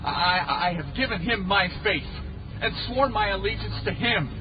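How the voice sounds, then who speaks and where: A man's voice: sits high, lively intonation, normal speed, dull audio. A man playing an earnest, steadfast pilgrim in an audio drama, declaiming archaic lines. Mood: defiant, loyal. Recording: distant mic under loud music. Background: loud music.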